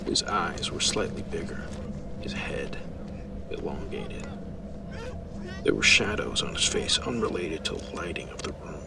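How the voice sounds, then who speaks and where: A man's voice: a bass voice, vocal fry, far from the mic, noisy background. A man reading a scripted horror story as its narrator.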